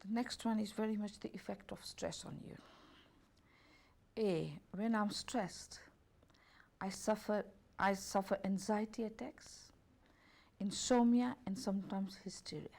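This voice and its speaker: A woman with a gravelly, mellow voice reads a questionnaire aloud. She takes each lettered option in turn at an unhurried pace. Her grammar is slightly off now and then, like a second-language speaker. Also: mid-range voice, steady tone. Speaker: holistic health and beauty therapist. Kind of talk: reading out a questionnaire.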